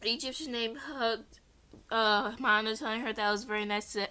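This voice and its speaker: A woman's voice: on the high side, fairly even intonation, moderate pace, sharp tone, clean tone. A woman reading a story aloud as an amateur, giggly, often stumbling over words and correcting herself. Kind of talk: read-along narration with character voices.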